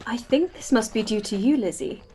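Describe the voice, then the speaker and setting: A woman playing a calm, sensible young married gentlewoman in a radio play. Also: on the high side, fairly quick, slight background noise, close to an everyday voice. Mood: knowing. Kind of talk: radio play live read.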